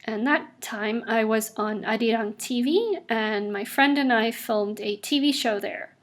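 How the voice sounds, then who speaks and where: A woman in her twenties tells her own story in a casual, upbeat way, with asides as she goes. Her accent is a blend of international English. Her voice sits a little above the usual pitch for a woman. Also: unhurried. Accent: mixed international English. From South Africa. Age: 20s. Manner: chatty and upbeat, with frequent laughs and asides. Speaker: vlogger. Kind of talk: casual personal narration over drawings.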